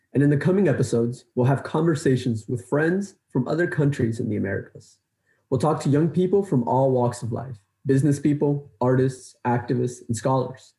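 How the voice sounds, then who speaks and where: A young man in his twenties co-hosts a podcast, speaking in an earnest, measured way. His voice is warm and dark in tone, and it is recorded in a noticeably echoey room. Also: mid-range voice, gravelly. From Ecuador, raised in Los Angeles. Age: 20s. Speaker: podcast co-host. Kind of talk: scripted intro then casual chat.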